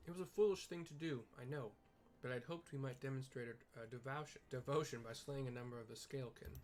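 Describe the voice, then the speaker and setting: A man's voice: mid-range voice, quick pace, natural voice. A man voicing a wounded, earnest young nobleman knight from a fantasy game, speaking in a formal, despairing way. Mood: solemn, resolute. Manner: formal, archaic, declamatory.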